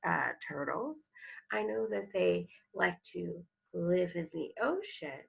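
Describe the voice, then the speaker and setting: A woman with a heavily creaky, bright voice speaks as an elementary teacher reading aloud to children. She takes her time, explaining and prompting in an instructional way. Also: medium pitch, rough recording. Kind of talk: read-aloud lesson with vocabulary teaching and think-aloud commentary.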